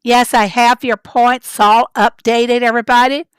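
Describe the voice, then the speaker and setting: A woman hosting a casual livestream, chatty and rambling as she greets viewers from the chat, her voice raspy and hoarse from a summer cold. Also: fairly high pitch, fairly even intonation, measured pace, no echo, clean studio sound. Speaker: livestream host.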